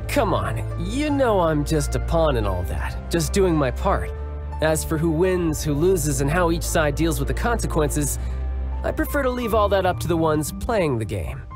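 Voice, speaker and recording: A smooth charming young fighter, lively voice, teasing confidence, game cutscene. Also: male voice, a little high, moderate pace. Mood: suave. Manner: suave, smooth, playful. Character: charming confident young male warrior, big brother. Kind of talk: video game dub. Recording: game audio with loud music.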